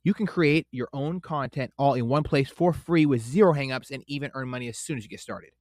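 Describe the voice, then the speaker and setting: A man's voice: mid-range voice, fairly quick, darkish tone, dry recording, clean studio sound. A man hosting a podcast, reading an upbeat intro and ad before interviewing his guest with emphatic presenter energy. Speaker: podcast host.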